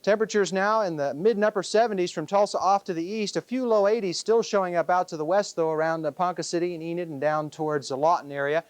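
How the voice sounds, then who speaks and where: A man's voice: high-pitched, quick pace, hardly any pauses, clean tone, no room sound. A man presenting a conversational television weather report in a studio. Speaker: TV weather forecaster. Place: TV news studio.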